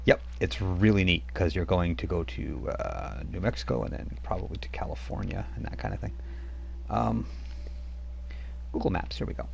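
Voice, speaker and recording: Deep-voiced man running a tabletop game. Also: big pitch swings, moderate pace, gravelly, slight background noise. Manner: chatty, laughs often, rambling with restarts. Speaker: game master.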